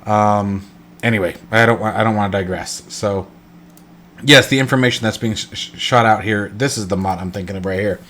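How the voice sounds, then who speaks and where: A man's voice: on the low side, fairly quick, fairly bright tone. Stuffy-nosed man rambling through a tutorial. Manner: rambling, self-correcting, lots of fillers. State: congested, stuffed up. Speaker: flight sim channel host. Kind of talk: casual screen-recorded tutorial.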